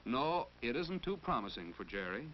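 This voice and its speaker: A man's voice: medium pitch, big pitch swings, normal speed. A man narrating a 1940s educational film in a didactic way, posing questions and giving advice. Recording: muffled, noisy 1940s film soundtrack.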